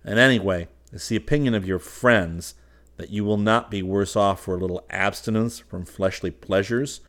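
A man voicing a brisk, commanding young man in an audiobook, speaking bluntly and emphatically as he scolds. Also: on the low side, close to an everyday voice. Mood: scolding.

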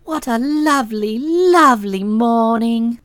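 A woman's voice: a little high, expressive, slow, no echo, studio quality, pitched below the performer's own voice. A woman voicing a gushing, sentimental young woman with a soupy, trickly, tremulous voice. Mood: dreamy.

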